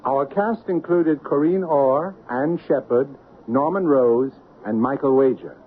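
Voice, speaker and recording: A man's voice: mid-range voice, measured pace, slight background noise. Formal male radio announcer. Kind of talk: credits announcement. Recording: tinny old radio broadcast.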